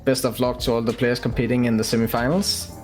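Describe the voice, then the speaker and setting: A young man from Denmark with a Danish accent, giving interview answers as a pro gamer, hesitant with frequent pauses. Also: mid-range voice, flat delivery, a little mellow. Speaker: professional esports player. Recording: music playing behind the voice.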